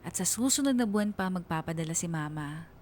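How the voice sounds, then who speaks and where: A woman's voice: on the low side, animated, brisk, a little mellow, a little hiss or hum, natural voice. A woman playing a young student who earnestly and remorsefully narrates her love story in a confessional radio drama style. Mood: troubled, recounting. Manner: reflective, confessional.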